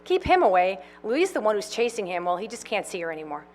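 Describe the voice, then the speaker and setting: A woman's voice: mid-range voice, sing-song, fast, darkish tone, slight background noise, natural voice. Woman reading as a stern bossy older sister. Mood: firm. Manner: scolding, clipped.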